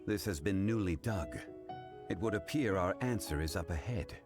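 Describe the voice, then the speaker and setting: An actor playing a composed, formal male character in a video game, calm and measured in his speech. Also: low-pitched, over music. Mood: solemn. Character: composed, formal male scholar of ancient lore.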